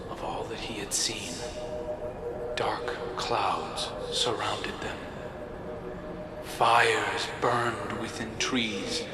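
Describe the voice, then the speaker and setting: An actor playing a brooding, resentful prince in a video game, telling a fable in a measured, breathy, dramatic way with hushed pauses. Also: male voice, medium pitch, close to an everyday voice. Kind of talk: dramatic scripted storytelling. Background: prominent music.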